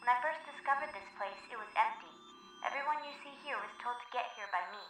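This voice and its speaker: A woman playing a calm, welcoming child guardian in a comic dub, measured and explanatory, through an echoing tinny filter. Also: a little high, a little hiss or hum. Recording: echoey, distant, music behind.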